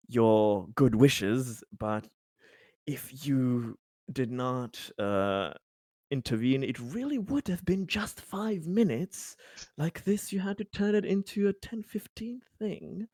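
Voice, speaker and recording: Casting: man as pragmatic male colony official for tabletop roleplay. Mid-pitched, earnest, wordy, halting and emphatic. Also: darkish tone, dry recording, clean studio sound. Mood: wry, grateful. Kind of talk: improvised tabletop roleplay dialogue.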